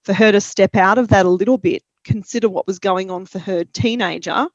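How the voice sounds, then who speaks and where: A woman with an Australian accent, a parent helpline counsellor speaking calmly and reflectively in a webinar discussion. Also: medium pitch, a little fast, dry recording, muffled recording.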